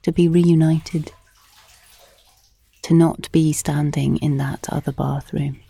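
Slow, hushed woman narrating softly. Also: low-pitched, steady tone, gravelly, slightly rough, no echo. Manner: slow, hushed, measured delivery, often near whispering. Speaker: narrator and performer of an audio playlet. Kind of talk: intimate scripted literary narration.

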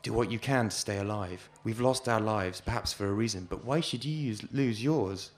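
A man's voice: fairly low pitch, moderate pace, lightly acted. A man reading a story aloud, voicing a selfless condemned male prisoner who urges a fellow captive on. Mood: urgent.

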